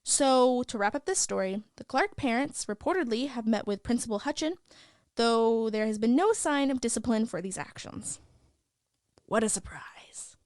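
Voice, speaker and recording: A gossipy, opinionated young school reporter, played by a woman, reading the news in a fairly high voice. She is chatty and sardonic, as if sharing secrets. The sound is very dry, with no room on it. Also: no echo. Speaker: host of a school news show. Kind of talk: scripted news podcast narration.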